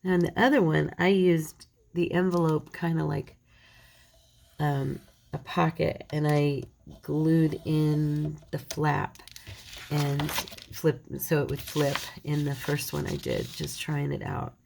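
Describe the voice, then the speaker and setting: Low gravelly woman with a slight cold. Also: slow pace, darkish tone, a little hiss or hum. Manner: chatty, self-deprecating, talks while working. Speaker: paper crafter. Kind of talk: casual crafting tutorial.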